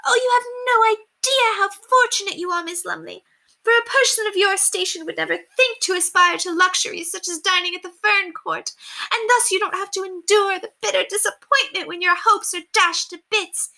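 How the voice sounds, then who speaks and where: A woman's voice: very high voice, moderate pace, creaky, pushed and bright, pitched above the performer's own voice, full character voice. A woman voicing a haughty, melodramatic upper-class lady from a storybook, theatrical and gushing. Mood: distraught, self-pitying. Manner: theatrical, gushing, indignant exclamations. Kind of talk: storybook character voice read aloud.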